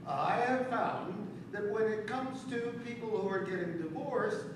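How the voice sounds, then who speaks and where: Stage comedy: male actor as haughty, scornful deity, animated delivery, distant noisy recording in a reverberant theater. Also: sits high. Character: sardonic, pompous male god of mockery and criticism. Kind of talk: community theater stage acting. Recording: echoey stage from far off, noisy.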